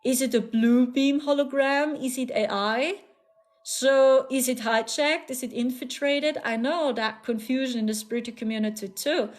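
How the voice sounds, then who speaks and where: A woman whose first language is German, speaking English with a clear German accent. She hosts a live stream as a spiritual channeler, and her voice sits fairly high. She talks in an earnest, soothing, repetitive way and often breaks into small laughs. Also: normal speed. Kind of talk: casual live-stream talk.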